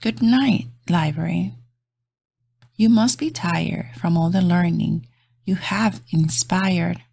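A woman reading aloud to young children as a storybook host, in a gentle, encouraging, sing-song manner. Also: on the low side, slow pace, vocal fry, husky, no echo.

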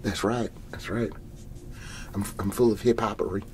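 A man playing a folksy, excitable garage-sale salesman in an ASMR roleplay, whispery and breathy, rambling and repeating his hard sell. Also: on the low side, normal speed, a little hiss or hum, lightly acted, low-quality recording. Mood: pleased, insistent. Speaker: host playing a character.

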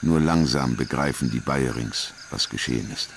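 A man reading scripted documentary narration in a measured, grave manner. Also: rumbling low, gravelly, slight background noise.

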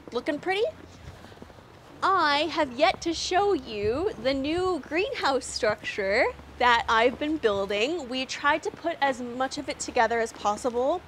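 A woman's voice: sits high, animated, unhurried, fairly bright tone, slight background noise. A young woman from Canada giving a chatty, upbeat walking tour of her snowy backyard garden, talking into a lapel mic outdoors and laughing often. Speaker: garden vlogger.

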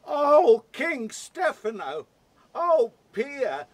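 An actor playing a silly, excitable drunken jester, whining and fawning in a Shakespearean audio drama. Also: male voice, very high-pitched, drawn-out words, full character voice. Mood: giddy, fawning.

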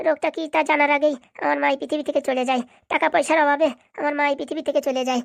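Wily cartoon village man played by a woman: high-pitched, placating, long-winded, very quick. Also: fairly even intonation, gravelly, no echo, studio quality. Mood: sorrowful storytelling. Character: pleading, talkative male visitor, wily and persistent. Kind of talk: comic cartoon dialogue.

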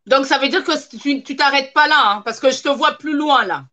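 A woman in her thirties hosts a live online interview from a room at home. Her voice is high and bright, with a sharp, forward edge. She talks in a chatty, encouraging way, stacking fillers before each question. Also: fairly quick, muffled recording. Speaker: host.

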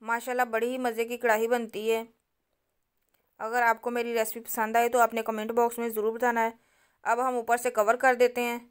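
A woman, narrating a home cooking recipe step by step and speaking directly to her viewers in a calm, instructive way. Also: on the high side, clean tone. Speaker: cooking channel host.

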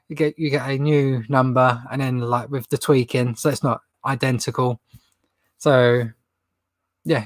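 A man's voice: mid-range voice, no room sound. A young man with a runny nose hosting a casual livestream Q&A from a stock room, rambling chattily and laughing at his own jokes. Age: young adult. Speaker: reseller livestream host. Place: indoor stock room with shelves.

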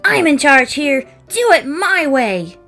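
A woman voicing squabbling, hot-headed little alien soldiers with a shouty, childish, bossy manner in a cartoon. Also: sits high, sing-song, takes it slowly, faint music behind, heavily acted. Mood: combative.

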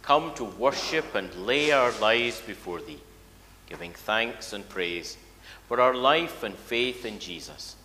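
A man's voice: on the low side, expressive, takes it slowly, pushed and bright. A man, a minister leading a church service. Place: large church. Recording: echoey church, clear background noise.

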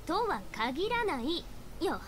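A woman voicing a proud, brisk, learned young female diviner in an anime-style game, with a formal, lecturing manner. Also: very high voice, sing-song, background noise, full character voice. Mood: indignant.

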